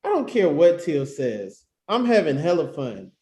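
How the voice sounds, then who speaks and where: Upbeat joker pal, read by a male actor, highish lively voice, echoey room sound. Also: unhurried. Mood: upbeat, joking. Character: joking, upbeat best friend on a wedding weekend. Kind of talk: screenplay table read. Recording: roomy, echoey home recording.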